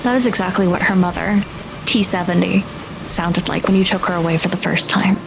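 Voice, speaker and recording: A woman's voice: on the low side, fairly even intonation, brisk, vocal fry, background noise. A woman working as game master, improvising dramatic, vivid narration for a tabletop roleplaying game.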